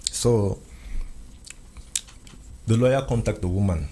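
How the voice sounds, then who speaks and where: A man with a lowish voice whose first language is French tells a long personal story in English. He repeats himself and restarts phrases as he insists on each point. A faint hiss of noise sits behind him. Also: takes it slowly, warm tone. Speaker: guest. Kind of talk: recounting a personal ordeal in conversation.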